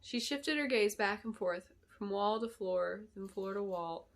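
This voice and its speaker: A woman's voice: medium pitch, expressive, measured pace. A woman author presenting and reading her own fantasy story in a storybook narration style. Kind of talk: storybook narration with spoken introduction.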